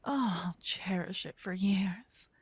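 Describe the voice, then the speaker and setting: A woman's voice: medium pitch, no echo. Woman playing a whispering, possessive vampire lover. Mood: sly, admiring. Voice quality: soft, breathy whisper. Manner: intimate, slow, teasing. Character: gentle, possessive, lovestruck female vampire captor. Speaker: ASMR roleplay performer. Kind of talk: whispered ASMR roleplay. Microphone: close binaural ear-to-ear microphone.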